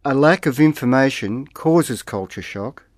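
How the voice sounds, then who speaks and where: Guy reading out practice answers for a language course, slow and careful, mid pitch, dry close sound. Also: expressive, no echo. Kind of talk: scripted read-aloud model answers.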